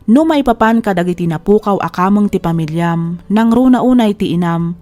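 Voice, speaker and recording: A woman's voice: medium pitch, expressive, moderate pace, mellow, natural voice. A woman playing a reflective, grateful storyteller, narrating an audio drama in the first person. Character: reflective, grateful woman living with long illness. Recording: music bed under the voice.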